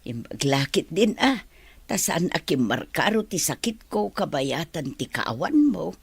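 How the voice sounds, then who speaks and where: A woman playing an ailing older mother in a radio drama, husky and fretful with affection for her daughter. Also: low voice, sing-song, measured pace, no room sound, exaggerated voice. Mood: fond.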